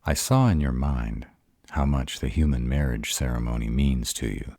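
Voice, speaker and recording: A man voicing a bashful, awkward male were-dragon in love, halting and earnest, in a romantic roleplay audio. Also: very deep voice, animated, vocal fry, dark tone, breathy edge, no echo, studio quality, exaggerated voice. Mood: nervous, earnest.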